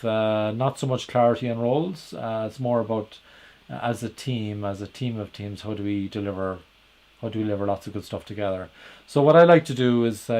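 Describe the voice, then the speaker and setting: A man's voice: mid-range voice, darkish tone. A man with an Irish accent giving a solo explanatory talk, conversational and hesitant, full of "uh" fillers and restarts. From Ireland. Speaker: podcast host and agile coach.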